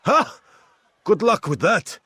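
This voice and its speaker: An actor playing a cornered, cynical informant in a video game, talking in a conspiratorial, resentful way. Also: male voice, sits high, sing-song, takes it slowly, sharp tone, breathy edge, no echo, full character voice. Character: cornered, cynical informant bargaining for his life. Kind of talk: video game cutscene acting.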